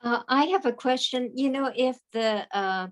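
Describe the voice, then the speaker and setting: A woman's voice: high-pitched, normal speed. A woman in the audience asking the lecturer a question, hesitant and full of fillers. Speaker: class member asking a question. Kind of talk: casual question.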